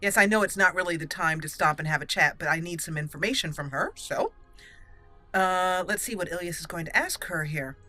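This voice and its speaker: A woman hosting a let's play with a bright, clear-cut voice. She comments casually on the game, with music playing behind her. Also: on the low side, animated, brisk. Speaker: gaming video host.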